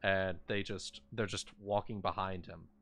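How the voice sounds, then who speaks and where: A man improvising narration as game master of a tabletop fantasy game, halting and repeating his phrases. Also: deep voice, fairly even intonation, gravelly, a bit bright. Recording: background music behind voice. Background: music.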